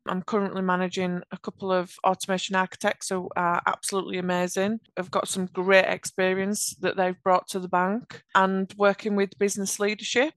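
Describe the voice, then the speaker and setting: A woman with a Yorkshire accent, a podcast guest giving earnest, measured answers about her work. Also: mid-range voice, fairly bright tone, clean tone, no echo. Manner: earnest, measured explanations with occasional restarts. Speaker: podcast guest, automation architect. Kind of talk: conversational interview answers.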